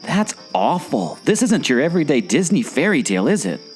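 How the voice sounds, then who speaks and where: A man's voice: fairly high pitch, very animated, a little fast, soft background music. A man playing a naive, curious sidekick with a taste for corny jokes in a scripted audio drama. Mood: curious, surprised. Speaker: curious companion.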